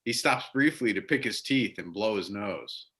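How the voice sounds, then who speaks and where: A man's voice: fairly low pitch, expressive, moderate pace, bright, forceful tone. A man narrating scripted stage directions for a readers-theater drama.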